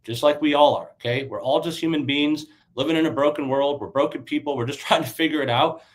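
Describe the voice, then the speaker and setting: A man in his thirties, stuffy and a little raspy from a sinus infection, giving loose conversational dating advice as a coach and often checking in with "right?". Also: a little high, fairly even intonation, fast talker, low-quality recording. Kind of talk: casual livestream coaching talk.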